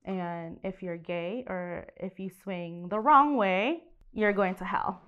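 A woman's voice: mid-range voice, slow, clean tone, lo-fi sound. Woman from Indonesia, co-host, frank and easygoing in conversation. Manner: candid, laughs easily. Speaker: podcast co-host. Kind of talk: casual chat.

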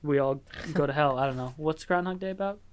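A young man hosting a podcast over a voice chat, rambling, laughing often and swearing casually, with a high-pitched voice. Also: fast talker, clean tone. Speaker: podcast host. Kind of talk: casual chat on a remote call. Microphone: computer mic over a voice chat app.

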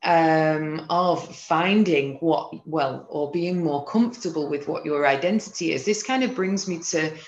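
A woman's voice: low-pitched, unhurried, few pauses. A woman with an English accent interviewing in a casual, conversational style as a podcast host. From Manchester, England. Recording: roomy echo, slightly dull lo-fi sound.

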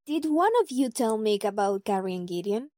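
An actress playing a composed, thoughtful advisor in an audio drama, measured and matter-of-fact. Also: female voice, medium pitch, lively intonation, warm tone, dry recording, clean studio sound, close to an everyday voice. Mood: composed, advising.

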